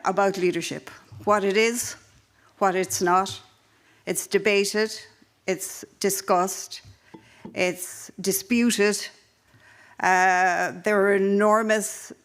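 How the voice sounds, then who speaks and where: A woman with an Irish accent moderating a conference panel, measured and steady, with frequent fillers and restarts. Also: mid-range voice. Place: conference hall.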